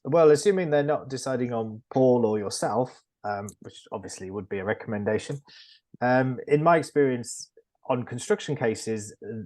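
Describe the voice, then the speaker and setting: Husky man explaining in measured tones. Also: on the low side, a little mellow. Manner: measured, explanatory, with frequent ums. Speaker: construction lawyer panelist. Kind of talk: webinar panel discussion.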